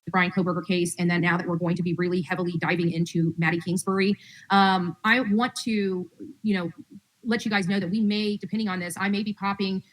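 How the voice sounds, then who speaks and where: Female livestream host, lowish voice, quick informal rambling, recorded away from the mic. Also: steady tone, far from the mic, rough recording. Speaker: true-crime livestream host. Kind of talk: casual livestream update to audience.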